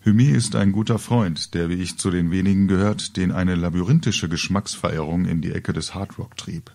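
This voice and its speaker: Deep-voiced man reading a wry story. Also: steady tone, moderate pace, vocal fry, darkish tone. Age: middle-aged. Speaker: author reading own story. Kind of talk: scripted humorous narration, audio-book reading.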